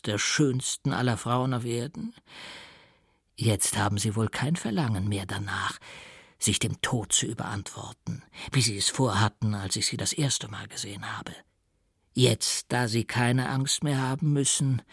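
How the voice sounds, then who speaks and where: A man acts out a sly old doctor from a gothic storybook. The voice sits low and creaks with husky gravel, drawing out words with mocking, self-satisfied triumph. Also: no echo, clean studio sound, exaggerated voice. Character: old gloating doctor and mystic, slyly triumphant, male. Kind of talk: dramatised audiobook reading.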